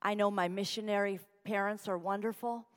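A woman's voice: medium pitch, fairly even intonation, a little fast, smooth, in a large hall. A middle-aged woman, raised in Uganda, telling her life story as a guest speaker in a church, with humorous chatty asides. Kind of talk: personal testimony sermon. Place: large church.

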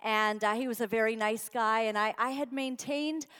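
A woman's voice: a little high, moderate pace, fairly bright tone, clear, resonant tone, long reverb tail. A middle-aged woman, raised in Uganda, telling her life story as a guest speaker in a church, with humorous chatty asides. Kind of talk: personal testimony sermon. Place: large church.

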